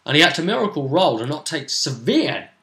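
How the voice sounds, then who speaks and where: A man's voice: a little high, sing-song, fairly quick, bright, forceful tone. A man chatting casually as co-host of a tabletop role-playing vidcast, going over the campaign. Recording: echoey room.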